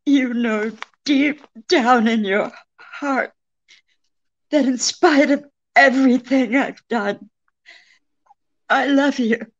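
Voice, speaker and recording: An actress playing a desperate, seductive murderess in a 1940s-style radio melodrama, imploring with breathless drama. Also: female voice, sits high, slow pace, long pauses, highs cut off. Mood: pleading. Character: pleading female murderess, seductive, desperate femme fatale.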